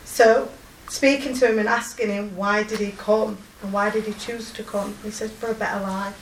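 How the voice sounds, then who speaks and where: A woman in her forties with a strong Yorkshire accent, telling of her volunteer work in a halting, emotional way with many pauses and fillers. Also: medium pitch, steady tone, reverberant, distant mic, slight background noise, rough recording. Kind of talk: personal testimony.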